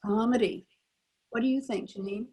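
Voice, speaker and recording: An older woman spins a story with animated delivery, her voice husky and a little breathy. She speaks over a video call as a panel guest. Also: a little high, moderate pace. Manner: animated storyteller, acts out quoted lines. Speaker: panel guest, former child radio actor. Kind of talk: anecdotal storytelling.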